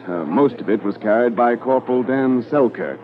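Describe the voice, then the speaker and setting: Actor as veteran policeman, animated, old radio sound. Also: medium pitch, moderate pace, lightly acted. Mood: reminiscing. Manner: relaxed, reflective storytelling. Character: seasoned veteran police officer reminiscing about a case. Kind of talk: 1950s radio drama acting. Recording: narrow-band old radio transcription with music.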